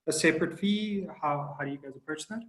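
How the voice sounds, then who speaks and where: Higher-voiced man asking quick questions. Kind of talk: casual question on a call. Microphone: video call.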